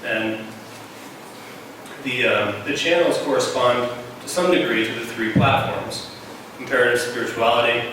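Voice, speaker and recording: A man presenting a journal's plans to a conference audience, explaining things steadily with frequent "um" fillers. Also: medium pitch. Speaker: journal team member presenting. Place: conference room. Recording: echoey hall, distant mic, noisy.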